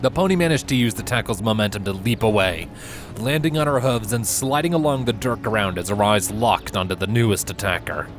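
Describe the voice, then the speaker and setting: A man reading scripted fan fiction as a narrator, with measured, dramatic storytelling. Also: lowish voice. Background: background music.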